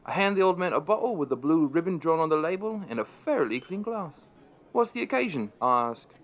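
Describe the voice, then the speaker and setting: A man's voice: on the high side, lively intonation, brisk. An actor playing a wry, chatty pub landlord, narrating his story in a conversational way for an audio drama.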